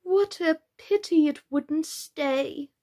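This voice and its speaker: A woman's voice: very high voice, lively intonation, unhurried, pitched above the performer's own voice. A woman voicing a courteous talking parrot in a storybook, with a formal, polite manner. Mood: sighing. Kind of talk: children's storybook character reading.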